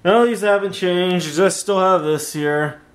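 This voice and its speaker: A man's voice: high-pitched, a little slow, noisy background. A young man giving a casual, handheld video demonstration as the host of a home vlog. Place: bedroom and home workshop. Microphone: handheld camera or phone, held by the speaker.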